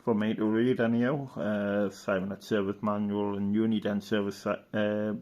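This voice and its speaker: Low-pitched man rambling casually. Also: little pitch movement, moderate pace, a little mellow, muffled recording. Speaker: hobbyist presenter. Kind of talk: casual show-and-tell commentary over a catalogue.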